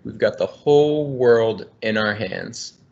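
Um homem de uns trinta anos, engenheiro ambiental, lendo um livro infantil em voz alta, de forma amigável e cadenciada, numa chamada de vídeo. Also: male voice, lowish voice, takes it slowly, gravelly, darkish tone. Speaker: environmental engineer. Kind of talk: reading a children's book aloud. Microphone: laptop webcam on video call. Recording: muffled video call audio.

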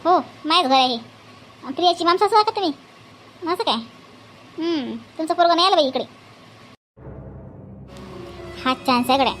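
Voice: extremely high pitch, fast talker, fairly bright tone, a little hiss or hum. A performer voicing a bossy, grumbling village auntie in a family comedy cartoon, scolding and complaining in a colloquial Vidarbha Marathi accent. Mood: haughty, sly.

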